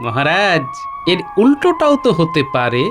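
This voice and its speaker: A man's voice: a little high, wildly swooping pitch, normal speed, background noise. A man voicing a cheerfully confident, clever court jester in a cartoon. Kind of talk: Bengali cartoon dialogue.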